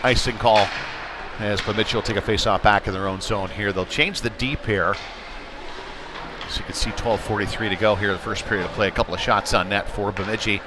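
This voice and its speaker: A man's voice: on the low side, fairly even intonation, fairly quick. A man doing live play-by-play commentary of an ice hockey game, following the play in conversational, clipped running phrases. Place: indoor ice hockey rink. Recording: noisy live rink stream, loud background noise. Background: loud rink noise.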